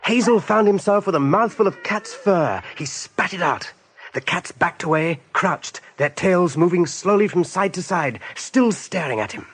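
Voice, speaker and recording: An actor narrating an animal adventure for a radio play in a measured, suspenseful storytelling manner. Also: male voice, high voice, lively intonation, a bit bright.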